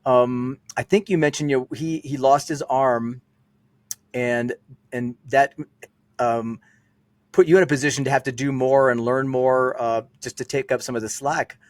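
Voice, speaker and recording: A man's voice: medium pitch, fairly even intonation, normal speed, dry recording. A man hosting an interview podcast, easygoing and loose, with many fillers. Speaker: podcast host. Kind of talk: interview, casual chat.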